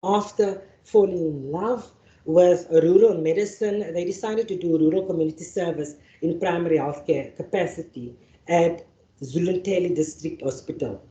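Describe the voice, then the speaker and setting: A woman's voice: lowish voice, normal speed, dark tone. Afrikaans-speaking woman, echoey hall. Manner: reads prepared remarks, frequent restarts. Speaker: panel facilitator. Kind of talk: formal speech and speaker introductions. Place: university lecture venue. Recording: echoey hall, dull sound.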